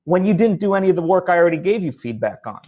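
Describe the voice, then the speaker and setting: A man's voice: high voice, animated, very fast. Man hosting an interview, slightly dull audio. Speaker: interview host. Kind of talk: casual conversational interview.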